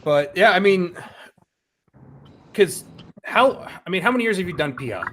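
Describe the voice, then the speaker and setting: A man in his thirties hosts a casual podcast chat, his voice sitting a little high for a man. He rambles and restarts often, then reels off numbers. A faint hiss runs underneath. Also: animated, normal speed, a bit bright. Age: 30s. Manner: rambling, many restarts, reads out stats. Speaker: podcast host.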